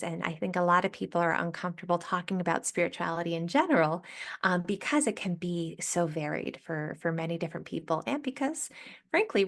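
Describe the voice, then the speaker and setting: A woman's voice: lowish voice, creaky. A woman hospital chaplain with a nasal voice, speaking thoughtfully with many fillers in a podcast interview. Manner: thoughtful, many ums and uhs. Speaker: hospital chaplain and spiritual director.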